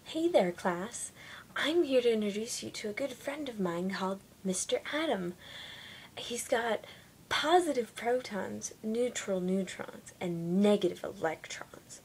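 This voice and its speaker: A woman's voice: medium pitch, animated, unhurried, darkish tone, pitched above the performer's own voice. A woman playing an exaggerated, playful pantomime presenter who questions her class as if at a children's show, in a noisy room. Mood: bright, theatrical.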